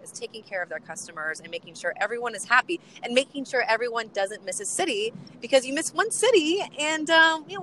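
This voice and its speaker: Bubbly giggly woman, bright voice. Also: on the high side, a little hiss or hum, poor audio. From US West Coast. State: voice getting lower from chanting. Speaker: co-host. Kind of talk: casual co-host chat, road trip update. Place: in a car.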